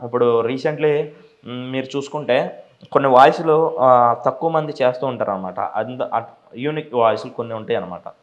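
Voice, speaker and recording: A man's voice: medium pitch, moderate pace, pauses often, muffled recording. A man explaining his mimicry craft in a casual interview style. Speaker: mimicry artist.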